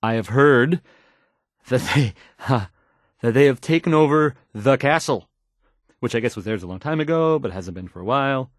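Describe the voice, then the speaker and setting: A man's voice: medium pitch, lively intonation, no room sound, clean studio sound, lightly acted. A man playing an awkward, unsure young radio DJ in a video game, stumbling hesitantly through news reports. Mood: flustered. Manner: halting, stammering, hesitant, trailing off.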